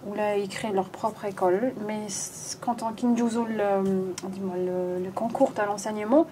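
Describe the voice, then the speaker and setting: A woman's voice: medium pitch, fairly even intonation, normal speed, a crowd in the background. A woman in her thirties with an Algerian accent, an English teacher chatting as a podcast guest, switching between French, English and Arabic. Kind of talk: casual conversation. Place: small studio room, seated at a table.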